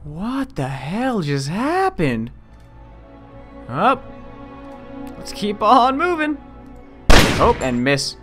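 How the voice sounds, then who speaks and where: A man doing casual, self-deprecating let's play commentary in an excitable manner. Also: high voice, drawn-out words, long pauses, music in the background. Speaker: video game let's player.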